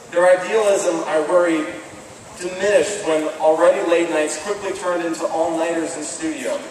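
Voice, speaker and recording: Earnest man speaking in an echoey hall. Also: a little high. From American Midwest. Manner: measured, earnest, deliberate phrasing. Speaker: commencement speaker. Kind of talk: formal commencement address. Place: large stone hall with flags, indoors. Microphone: podium microphone, filmed from far off. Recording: echoey hall from far off, audible background noise.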